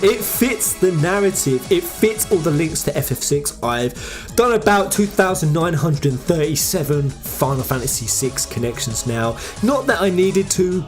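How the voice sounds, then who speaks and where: An emphatic, informal host giving excited fan theory commentary on a video game. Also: medium pitch, moderate pace, soft background music.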